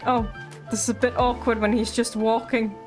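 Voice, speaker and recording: A woman streaming a game live with casual, excitable, giggly commentary. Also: a little high, normal speed, over music.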